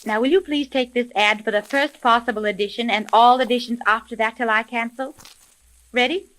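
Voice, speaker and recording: High-voiced, firm woman detective boss acted. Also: steady tone, fairly bright tone, natural voice. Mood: businesslike. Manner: brisk, firm, no-nonsense. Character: brisk, businesslike woman boss of a detective agency. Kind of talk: 1930s radio acting. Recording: noisy, clicking vintage radio recording.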